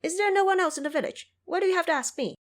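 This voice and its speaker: A woman's voice: very high voice, expressive, racing, voice pushed up. A woman voicing an irritable young village man, agitated and complaining, in an audio drama. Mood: annoyed.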